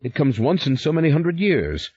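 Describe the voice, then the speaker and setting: A stern, devout older father with a commanding, solemn tone, as a narrator plays him, lifted above the reader's own low voice. The sound is husky and dry. The recording is a little muffled. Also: wildly swooping pitch, normal speed, a little mellow, no room sound, heavily acted.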